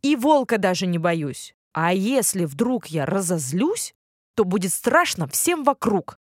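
Woman voicing a cocky little storybook hare, bragging loudly. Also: mid-range voice, sing-song, measured pace, no echo, studio quality. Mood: boastful. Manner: loud, bragging, sing-song.

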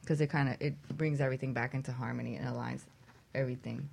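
A woman's voice: very deep voice, steady tone, fast, gravelly, darkish tone, husky. A woman speaks as a yoga teacher on a panel. Her style is conversational and informal, explaining practices in plain talk. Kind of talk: casual talk show conversation.

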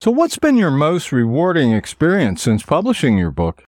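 Lively male interview show host. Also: fairly high pitch, moderate pace, no room sound, clean studio sound. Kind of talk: polished interview hosting and sign-off.

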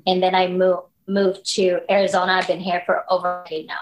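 A woman's voice: fairly low pitch, little pitch movement, fairly quick, over music, rough recording. A woman, candidly telling her story as a podcast guest. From Philippines. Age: 30s. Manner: candid, storytelling, frequent likes and you knows. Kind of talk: casual interview conversation.

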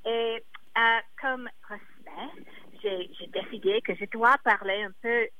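A woman speaking slowly and haltingly in her second language, her first tongue being English. She pauses often to search for words, and the sound is dull and lo-fi, as if over a phone line. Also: on the high side, slight background noise. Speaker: singer.

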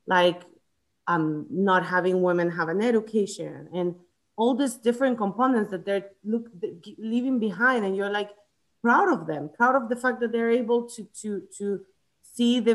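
A woman from Chile discussing a book in casual conversation, with frequent fillers, restarts and hesitations. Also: medium pitch. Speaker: book club member.

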